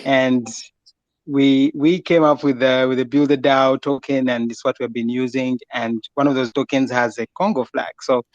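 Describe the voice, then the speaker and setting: A man joining a casual audio chat as a guest, talking haltingly with many fillers. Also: medium pitch, level tone, dry recording, dull audio.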